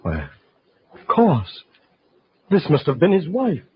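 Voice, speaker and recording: A man playing a horrified gentleman in an old-time radio horror play, dramatic and halting. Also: a little high, huge pitch swings, slightly rough, exaggerated voice. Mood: dawning horror. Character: horrified gentleman piecing together a murder. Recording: muffled old radio recording.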